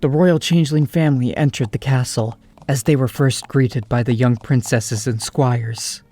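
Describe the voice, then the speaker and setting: A man's voice: mid-range voice, steady tone, moderate pace, dark tone, no room sound. A man giving scripted storybook narration as the narrator of an audio drama.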